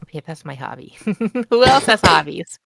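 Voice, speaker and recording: A woman telling a rambling story on an online call, excitable and jumping up in pitch. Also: normal speed, gravelly, no room sound. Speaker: group chat participant. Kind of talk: casual storytelling chat.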